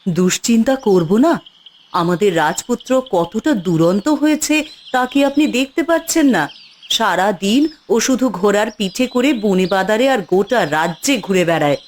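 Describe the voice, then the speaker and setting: A doting, anxious cartoon queen, acted by a woman, pleads in a mid-pitched voice. Birdsong and faint noise sit behind her worried, motherly speech. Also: lively intonation, moderate pace, natural voice. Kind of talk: dramatic cartoon voice acting.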